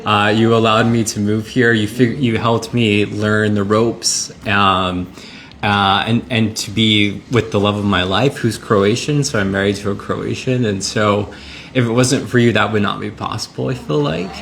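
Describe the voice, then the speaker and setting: A guest from Boston speaking earnestly and emotionally, often halting and restarting. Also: female voice, rumbling low, fairly even intonation, slight background noise. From Boston, Massachusetts. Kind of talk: casual chat.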